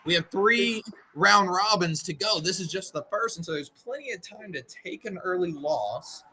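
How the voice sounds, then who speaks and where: A man's voice: sits high, lively intonation, normal speed, bright, forceful tone, a little hiss or hum, poor audio. A man, a martial arts instructor, commentating and encouraging his students in a proud, teacherly way. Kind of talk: casual sports commentary.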